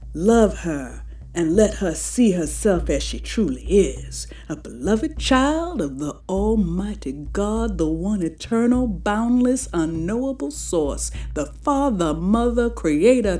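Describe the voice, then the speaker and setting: A woman's voice: mid-range voice, very animated, slow, dark tone. An actress playing a serene woman healer in her nineties, speaking in a formal, incantatory manner in an audio drama. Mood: solemn prayer. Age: 90s. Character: serene ninety-something woman, healer-priestess leading solemn prayer.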